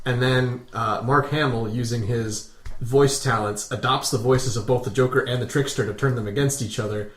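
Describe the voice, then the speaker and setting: A man co-hosting a podcast in relaxed, casual conversation. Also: mid-range voice, moderate pace, slight background noise. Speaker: podcast co-host. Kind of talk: casual chat. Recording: echoey room.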